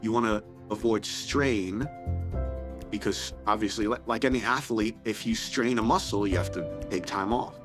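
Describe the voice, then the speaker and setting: A man who sings for a living, calmly explaining how he sings and how he cares for his voice in an interview. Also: fairly low pitch, normal speed. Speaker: professional singer. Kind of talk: interview talk. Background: music bed.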